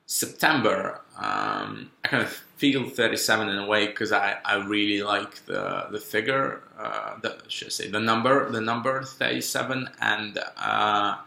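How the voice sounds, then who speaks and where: A man in his thirties thinks aloud in a loose, halting monologue, circling back and restarting his sentences. His voice is low and gravelly with a bright, pressed edge. The room around him rings with echo. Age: 30s. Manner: halting, musing, many restarts and fillers. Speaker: podcaster. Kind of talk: rambling solo monologue. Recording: echoey room.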